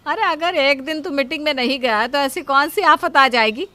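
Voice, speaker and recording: A woman's voice: high voice, moderate pace, sharp tone, pitched above the performer's own voice. A domineering middle-aged matriarch from a cartoon family tale played by a woman. She scolds and hands out orders, always fussing over how the household looks to others. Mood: bossy scolding. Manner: scolding, commanding. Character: bossy middle-aged Indian mother-in-law, demanding, fussy about guests. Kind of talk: Hindi cartoon story acting.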